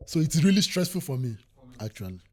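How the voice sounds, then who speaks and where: A man's voice: a little high, very animated, brisk, darkish tone. A man in his thirties from Nigeria with a Nigerian accent, telling stories animatedly and laughing often in a casual podcast chat. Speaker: podcast co-host.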